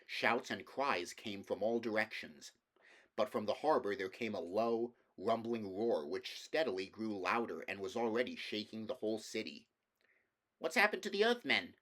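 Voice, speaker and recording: Man, fairly low pitch, amateur audiobook reader, scripted fantasy narration. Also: moderate pace, fairly bright tone.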